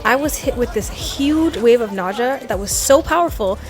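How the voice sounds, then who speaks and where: A young woman chatting through a fitness vlog voice-over, telling a story with quick self-deprecating laughs. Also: a little high, lively intonation, music in the background. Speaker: fitness vlogger. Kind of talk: voice-over narration.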